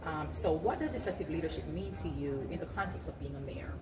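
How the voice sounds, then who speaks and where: A woman with a fairly low voice hosts a conversational interview show. She sounds set back from the microphone in an echoey room, with music clearly behind her. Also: fast talker, a loud crowd. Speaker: talk show host. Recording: roomy, distant mic, music behind.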